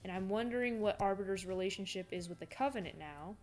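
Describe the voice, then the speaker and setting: Female gaming reactor, mid-pitched, casual, thinking out loud in loose rambles, faint music bed. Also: quick pace. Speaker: reaction video creator and gamer.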